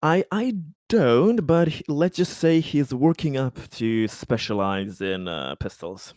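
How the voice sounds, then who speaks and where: A man's voice: medium pitch, expressive, slow pace, a little mellow, no room sound, clean studio sound. A man chatting as a player in a tabletop game, hesitant and thinking aloud. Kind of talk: casual in-game chat.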